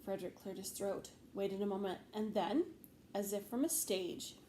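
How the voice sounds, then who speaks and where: A woman reading a children's story aloud expressively, with measured phrasing and deliberate pauses. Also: medium pitch, dark tone. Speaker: storybook reader, teacher. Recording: faint background noise.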